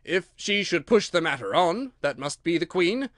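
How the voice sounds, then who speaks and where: A man's voice: high voice, sing-song, moderate pace, fairly bright tone, no room sound, clean studio sound. A man voicing a pompous, self-satisfied playing-card king in a storybook, pondering aloud with great self-importance. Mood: pondering, triumphant.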